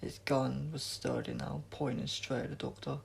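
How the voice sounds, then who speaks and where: Very gravelly male story narrator. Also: mid-range voice, little pitch movement, moderate pace, slight background noise. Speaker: audiobook reader.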